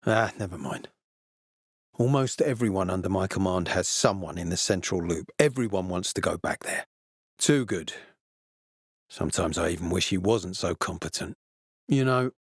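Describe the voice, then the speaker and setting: A stern army commander from a post-apocalyptic video game. His voice is low and measured, with formal authority, captured close and dry. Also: gravelly, slightly rough, no room sound, full character voice. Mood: troubled, then reflective. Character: stern ambitious male military commander in a zombie apocalypse. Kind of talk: video game dialogue acting.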